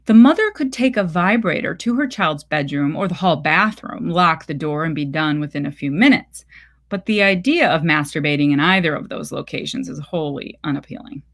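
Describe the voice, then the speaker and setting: Female story reader, lower-pitched voice, measured and deliberate, literary narration. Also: expressive, a bit bright.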